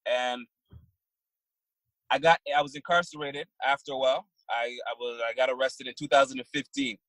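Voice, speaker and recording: Guest, male, 30s, from Barbados: mid-pitched, plain-spoken, dry close sound. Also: a bit bright, no echo, poor audio. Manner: plain-spoken, halting with fillers and restarts. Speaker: guest, trucking business owner. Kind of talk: casual interview answers.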